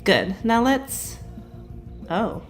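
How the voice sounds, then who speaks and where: A woman's voice: fairly high pitch, sing-song, drawn-out words, faint music behind, full character voice. A young woman playing a frank, teasing superhero girl in an audio drama, slangy and straight-talking. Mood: awkward concern.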